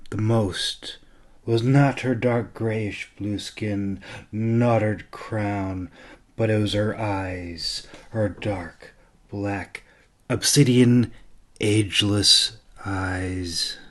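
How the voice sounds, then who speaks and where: Male narrator, slow pace, dramatic fantasy storytelling, expressive, medium pitch, close dry recording. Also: no room sound. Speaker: audiobook narrator, author.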